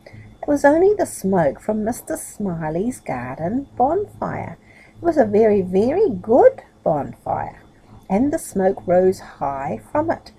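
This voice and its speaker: A woman's voice: mid-range voice, vocal fry, warm tone, husky, rough recording. A woman reading a children's story aloud expressively, with chatty asides to a young listener. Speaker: storybook reader.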